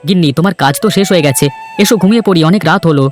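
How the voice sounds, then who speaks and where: A man's voice: high voice, very fast, soft background music, lightly acted. A man voicing a cheerful, sociable young village man in a cartoon story, eager and friendly. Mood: affectionate, reassuring.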